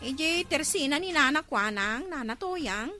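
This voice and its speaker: A woman's voice: high-pitched, animated, measured pace, bright, forceful tone, soft background music, full character voice. A woman with an Ilocano accent playing a talkative, mildly exasperated village neighbour in a comedy drama. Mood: chatty.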